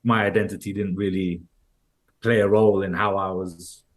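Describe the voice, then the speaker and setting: A man with a London accent speaks as a podcast guest, his voice sitting very low. He talks loosely, circling back and restarting his sentences with plenty of "like" and "you know.". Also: flat delivery, moderate pace. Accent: London English. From London, England. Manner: rambling, many restarts and "like"s. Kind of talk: casual interview conversation.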